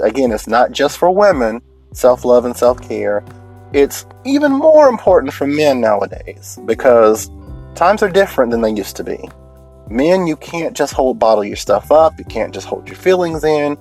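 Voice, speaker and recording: A deep-voiced man talking alone in a late-night podcast from inside a parked car, sniffling a little as he gets over a cold. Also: darkish tone. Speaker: podcast host.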